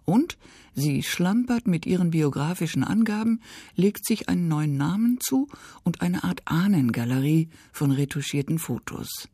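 Woman reading a book review for radio, raspy gravelly voice, dry close sound. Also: sits low, mellow, no room sound.

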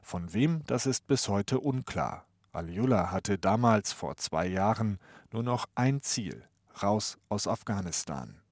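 Low-voiced man reading a news report. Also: animated, slow, a little mellow, no room sound, studio quality. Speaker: radio reporter. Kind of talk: scripted news narration.

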